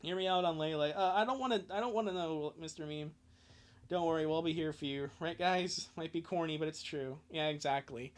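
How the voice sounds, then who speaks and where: A man's voice: on the high side, fairly quick. A man hosting a live stream with casual commentary and reading, sarcastic, exasperated and prone to swearing.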